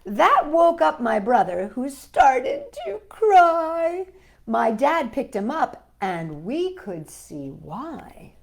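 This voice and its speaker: A woman hosting a children's storytime, reading aloud and chatting in a playful, expressive way and putting questions to her young listeners. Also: high voice, extremely slow, vocal fry. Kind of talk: animated read-aloud and chat for young children.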